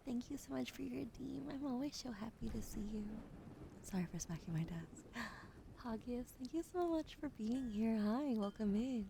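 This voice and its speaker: A young woman whispering softly and slowly to viewers on an ASMR stream, close to a sensitive microphone at her desk. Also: a little high, animated, vocal fry, noisy background. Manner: soft unvoiced whisper, slow and soothing.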